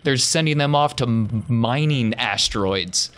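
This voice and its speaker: A man's voice: medium pitch, measured pace, a bit bright, close to an everyday voice. Man acting a grave, urgent uncle. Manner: blunt, terse warnings. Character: grave, urgent uncle who once sheltered fugitives.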